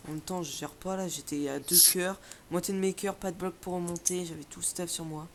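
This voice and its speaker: A man chatting casually while playing a video game, relaxed and laughing often, trailing off with fillers. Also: sits high, a little fast, a little hiss or hum. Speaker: YouTube gamer. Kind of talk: casual gaming chat. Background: faint keyboard typing.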